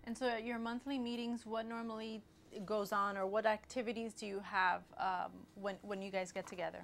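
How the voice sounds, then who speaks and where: A woman's voice: mid-range voice, little pitch movement, moderate pace, slight background noise. A woman hosting a local TV interview who asks friendly, curious questions. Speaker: host of city news program.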